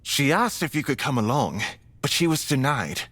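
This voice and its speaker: A man plays a polite, measured vampire mentor for an audio drama. His phrasing is formal and courteous, yet the melody moves around a lot. The sound is dry and intimate. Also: mid-range voice, very animated, a bit bright, breathy edge, dry recording. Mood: calm, tactful.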